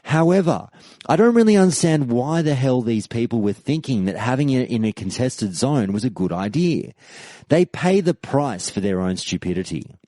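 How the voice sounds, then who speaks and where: A man's voice: mid-range voice, animated, moderate pace, mellow, no echo. A man hosting a podcast, delivering a scripted, argumentative monologue in an emphatic, rhetorical, debate-style way. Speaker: podcast host. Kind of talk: scripted argumentative monologue, reading out forum posts.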